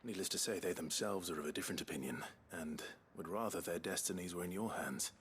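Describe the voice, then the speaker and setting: Very deep-voiced, measured fantasy hero. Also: male voice, fairly bright tone, slight background noise. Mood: grave, measured. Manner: formal, measured, understated. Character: grave, measured fantasy hero, a man helping townsfolk. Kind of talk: scripted game dialogue.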